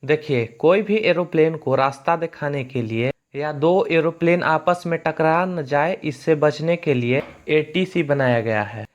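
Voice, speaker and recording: A man's voice: fairly high pitch, measured pace, hardly any pauses, dull audio. A man narrates an educational explainer in plain, colloquial words, teaching step by step.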